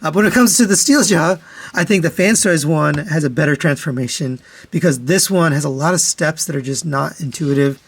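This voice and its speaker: Male product reviewer, voice a bit on the high side, matter-of-fact and conversational, walking through comparisons step by step. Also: a little fast, breathy edge. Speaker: toy reviewer, host.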